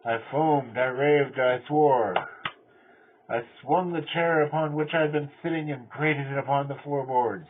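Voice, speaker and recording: A man playing a cunning, mad murderer who confesses in a theatrical gothic horror reading, swinging between hushed control and frenzy. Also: medium pitch, a little slow, voice pushed up, close to an everyday voice. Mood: frantic, raving. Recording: tinny band-limited audio with clear noise.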